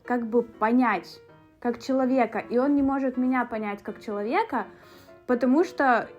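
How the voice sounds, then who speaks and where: A woman's voice: a little high, normal speed, muffled recording, soft background music. A young woman from Russia talking in a chatty, casual vlog style, with fillers and asides. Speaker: beauty vlogger.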